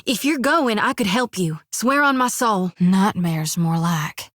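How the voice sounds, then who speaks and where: An actress voicing a folksy, earnest young priestess of a death god in a video game, with a drawl, rambling and plainspoken. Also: female voice, mid-range voice, animated, unhurried, fairly bright tone, no room sound, studio quality.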